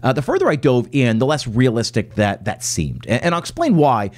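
A man's voice: mid-range voice, huge pitch swings, a little fast. A man in his forties hosting a solo podcast from a home office, reading points aloud and then explaining them loosely with fillers and restarts. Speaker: podcast host.

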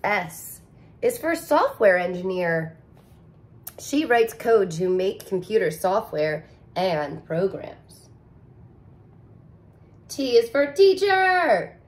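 A woman in her thirties, a teacher, reading a picture book aloud to young children in an expressive, sing-song way, recorded close to the camera in a living room. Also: medium pitch, slow pace, long pauses. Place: living room with couch, indoors.

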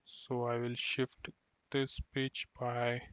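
A man giving a screen-recorded software tutorial, explaining steps one by one and sometimes restarting words. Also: medium pitch, completely flat delivery, slow, clean tone, no echo. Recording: dull dry lo-fi voice-over.

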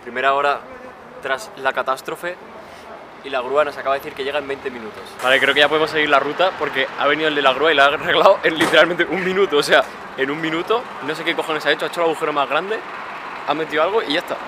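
A man in his twenties chatting casually to camera while travelling, filming at arm's length outdoors and talking in a quick, chatty way. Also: on the high side, fairly even intonation, background noise. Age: 20s. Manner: chatty, rapid, filler-heavy. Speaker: travel vlogger. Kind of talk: casual travel vlog narration. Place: outdoors on a bridge, city streets and coastal trail. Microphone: handheld camera at arm's length.

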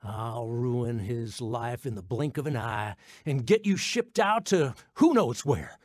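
A man's voice: medium pitch, animated, slow, a little mellow, no room sound, clean studio sound. An actor playing a threatening, controlling foster father in an audio drama. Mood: menacing. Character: menacing, predatory adult male foster father, coercive.

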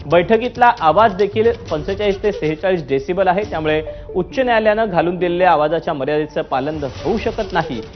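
A man's voice: high-pitched, fairly quick, hardly any pauses. A man presenting scripted news in an emphatic, formal delivery. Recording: muffled broadcast audio with music bed. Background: news music bed.

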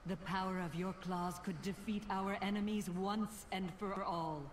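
A woman playing an earnest druid spellcaster in a video game, speaking in a formal, reverent and measured way. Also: mid-range voice, steady tone, lightly acted. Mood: reverent pleading. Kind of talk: video game character acting. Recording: distant, echoing, noisy game mix.

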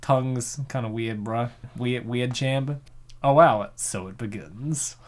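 A man's voice: mid-range voice, unhurried. A man hosting a comic reading, giggling often and rambling with lots of fillers. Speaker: host reading a comic dub. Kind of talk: casual chat.

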